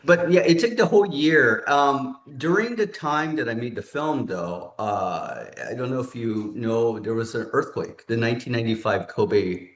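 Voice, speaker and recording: A man's voice: medium pitch, expressive, moderate pace, few pauses. A man in his forties from California, a podcast guest chatting casually, rambling and correcting himself with many fillers. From California, United States. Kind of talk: casual interview chat. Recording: roomy echo.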